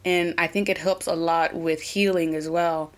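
A woman with an Oklahoma twang hosting her own solo podcast, talking earnestly and reflectively, pausing and restarting as she thinks aloud. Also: fairly low pitch, fairly even intonation. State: a little nervous. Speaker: podcast host.

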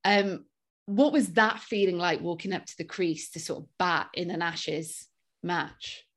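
A woman hosts the conversation and asks the questions, her voice sitting a little low for a woman. She sounds friendly and conversational as she interviews her guests. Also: measured pace, fairly bright tone. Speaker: podcast host.

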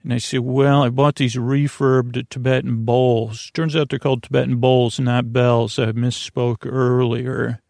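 Creaky-voiced, meandering male sleep podcaster. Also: medium pitch, little pitch movement, a little mellow, no room sound, clean studio sound. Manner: halting, self-interrupting, trailing into tangents. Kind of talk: rambling, meandering bedtime monologue.

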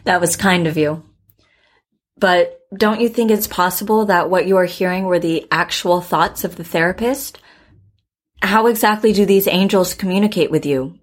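A woman playing a composed psychotherapist in an audio drama, speaking in a measured, careful, questioning way. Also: on the low side, close to an everyday voice. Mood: probing, composed. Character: measured, probing female psychotherapist, professional and composed. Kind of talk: naturalistic audio drama acting.

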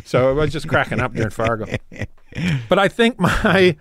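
A man's voice: a little high, lively intonation, moderate pace, a bit bright, no echo, studio quality. A middle-aged man from rural Saskatchewan with a Canadian prairie accent. He is a comedian chatting casually as a guest, rambling and correcting himself as he goes. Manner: rambling, self-correcting, laughs easily. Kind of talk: casual interview chat.

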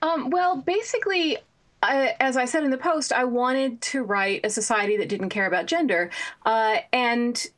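A woman novelist as an interview guest, answering in a thoughtful, measured way with frequent fillers. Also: a little high, fairly bright tone. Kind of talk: interview conversation.

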